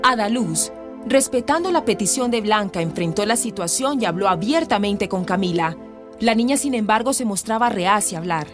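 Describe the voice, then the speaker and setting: A Colombian woman narrates a radio drama in a scripted, dramatic style. Her voice sits at a medium pitch with music clearly behind it. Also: lively intonation, normal speed.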